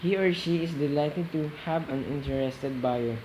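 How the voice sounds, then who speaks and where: Male amateur as calm, lecturing investor in radio play interview, repeats points for emphasis, faint noise behind. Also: on the high side, normal speed, close to an everyday voice, rough recording. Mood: firm, explaining. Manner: didactic, repeats phrases for emphasis. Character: calm, didactic male real estate investor being interviewed.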